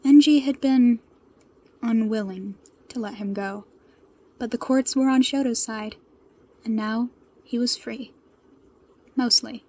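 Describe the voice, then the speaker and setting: A woman narrator with a fairly high, mellow voice and a husky grain. She reads scripted fan fiction aloud with expressive storytelling delivery. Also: takes it slowly, long pauses.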